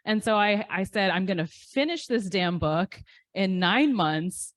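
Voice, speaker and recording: A woman's voice: mid-range voice, animated, a little slow, dry recording. A woman in her thirties, a poet answering audience questions in a candid, self-deprecating way with frequent ums. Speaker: poet guest. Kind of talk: casual interview answers.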